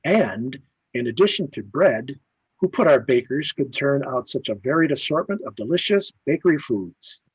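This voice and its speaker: A man's voice: medium pitch, animated, moderate pace, slightly rough. A man announcing in 1950s radio style, emphatic and polished like a sponsor's salesman. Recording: muffled, roomy old-radio style sound.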